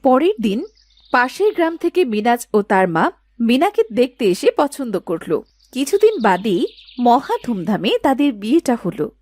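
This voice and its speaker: A woman's voice: a little high, very animated, normal speed, darkish tone, no echo, faint music behind. A woman narrating a scripted cartoon village tale as its storyteller.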